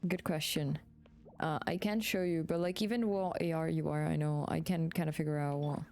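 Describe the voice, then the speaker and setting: A woman's voice: sits low, steady tone, moderate pace, a little mellow. A woman chatting casually on a live game stream, informal and slangy with some swearing. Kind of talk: casual gaming stream chat with viewers.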